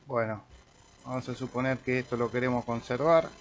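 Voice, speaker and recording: An Argentine man, a radio amateur slowly talking through an audio editor tutorial while clicking through menus. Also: medium pitch, flat delivery. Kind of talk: screen-recorded software tutorial. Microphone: computer microphone.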